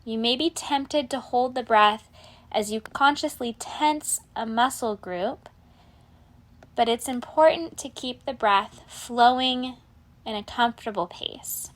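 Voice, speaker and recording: A woman leading a guided relaxation meditation in a calm, measured, soothing way, letting her phrases end softly. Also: on the high side.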